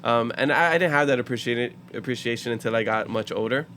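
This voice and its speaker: A man's voice: medium pitch, quick pace, a little hiss or hum. Laughing young man from Hawaii. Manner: lively storyteller who laughs often. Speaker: podcast guest. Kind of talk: casual podcast chat.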